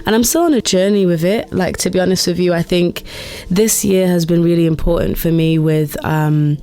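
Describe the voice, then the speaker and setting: Even-toned young English woman, musing candidly. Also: lowish voice, normal speed. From England, raised in Doncaster. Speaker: guest, musician. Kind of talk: reflective, informal interview talk.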